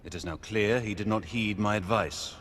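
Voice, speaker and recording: A man playing a loyal knight commander in a video game, speaking formally, with measured diplomacy. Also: lowish voice, fairly bright tone. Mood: measured, regretful. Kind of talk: period drama game acting. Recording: reverberant hall with background ambience noise.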